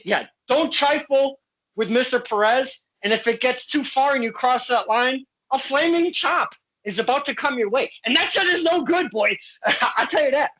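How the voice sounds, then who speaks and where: Man from Connecticut, boisterous show host, bright forceful voice, playful, swears a lot. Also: very high voice, normal speed, poor audio. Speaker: podcast host. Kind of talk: casual interview.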